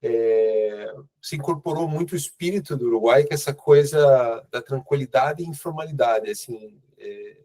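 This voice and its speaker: A Brazilian man with a Brazilian Portuguese accent interviewing a guest in a relaxed chat, agreeing often and restarting his sentences. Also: medium pitch, normal speed. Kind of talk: conversational interview.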